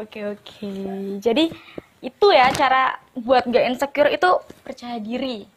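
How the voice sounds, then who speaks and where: High-voiced woman hosting a chat. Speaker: host, interviewer. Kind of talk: casual interview.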